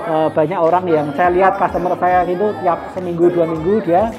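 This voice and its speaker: A man who owns a restaurant answers interview questions in a thoughtful way, pausing often to find his words. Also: high-pitched, little pitch movement, lo-fi sound. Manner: thoughtful, pauses with many fillers. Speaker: restaurant owner. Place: busy restaurant. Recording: loud music and crowd chatter. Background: music and diners chattering.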